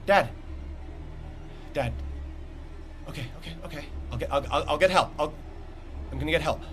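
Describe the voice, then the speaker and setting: A man playing a panicked, grief-stricken young crash survivor in a dramatic table read, halting and repeating himself in desperation. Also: a little high, fairly bright tone, natural voice. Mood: dazed, pleading. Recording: noisy table-read room recording.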